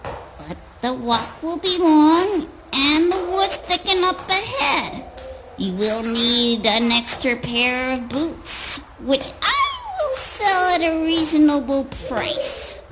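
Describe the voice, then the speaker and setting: An actress playing a sly, scornful old crone in a spoken word play, with a taunting, theatrical manner. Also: female voice, sits high, big pitch swings, slow, noisy background, heavily acted. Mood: cryptic, sly.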